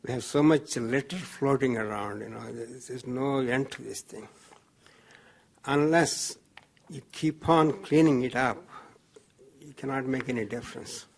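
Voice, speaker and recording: A man giving impromptu remarks at a city council meeting about picking up litter, halting and full of fillers. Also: medium pitch, creaky.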